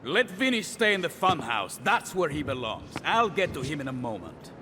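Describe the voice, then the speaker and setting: An actor playing a suave Russian gangster villain in a video game, smug, theatrical and mock-courteous. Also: male voice, big pitch swings, moderate pace, bright, forceful tone. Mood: smug, taunting. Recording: long hall reverb, faint rumbling noise.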